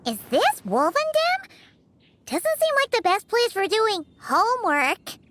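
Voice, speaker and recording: A woman voicing a tiny, childlike fairy companion in a video game, curious and excitable, full of exclamations. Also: very high-pitched, unhurried, slight background noise, exaggerated voice. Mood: curious, doubtful.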